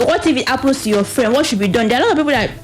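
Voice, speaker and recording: Female radio guest, Nigerian accent, slightly high pitch, explanatory delivery, light music underneath. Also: racing. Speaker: guest from a youth reproductive health organisation. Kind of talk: radio panel discussion.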